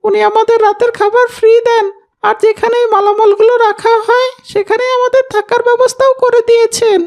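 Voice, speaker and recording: An extremely high, small boy's cartoon voice , put on by a woman. The friendly little scavenger explains things matter-of-factly, with little rise and fall. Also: vocal fry, a little mellow. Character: friendly young street boy who scavenges plastic bottles. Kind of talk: cartoon voice acting.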